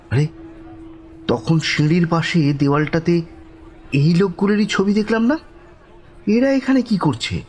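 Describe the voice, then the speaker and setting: A man narrating a horror story in the first person, dramatically and suspensefully, with pauses between short phrases. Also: mid-range voice, a little mellow, a little hiss or hum.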